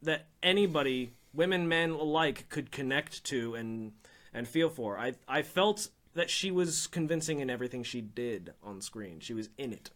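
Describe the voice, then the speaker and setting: A man's voice: mid-range voice, moderate pace. A man co-hosting a casual film discussion, talking animatedly and often restarting his sentences. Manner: animated, restarts and repeats words. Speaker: podcast co-host.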